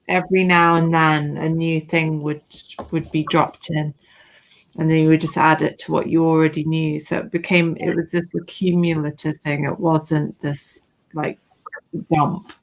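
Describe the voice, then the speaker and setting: A woman guest in a casual group discussion, speaking deliberately in short, emphatic phrases. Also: deep voice, flat delivery, vocal fry, rough recording.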